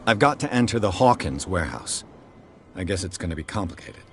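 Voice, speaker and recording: An actor playing a calm, dry and dogged male investigator in a video game. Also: low voice, fairly quick, noisy background.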